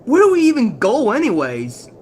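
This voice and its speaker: A man's voice: very high-pitched, wildly swooping pitch, moderate pace, a little mellow, heavily acted. A man voicing a nervous, self-doubting young male pegasus pony in a fan fiction audio story. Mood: anxious. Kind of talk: dramatized fan fiction reading.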